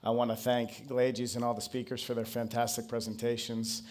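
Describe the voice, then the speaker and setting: A man's voice: mid-range voice, completely flat delivery, normal speed, darkish tone. A man speaking English as his first language, giving a conference presentation and drawing the audience in with questions. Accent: non-Brazilian English accent. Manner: engaging, asks the audience to raise hands. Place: conference stage.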